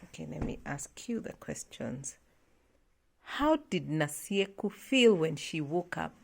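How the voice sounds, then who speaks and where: Woman, parent-teacher role, slightly low register with plenty of vocal fry, casual and encouraging, attentive prompts. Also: expressive, measured pace. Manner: attentive, encouraging, often murmuring agreement. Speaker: parent teaching a child at home. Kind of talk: casual encouraging read-along prompting and questioning.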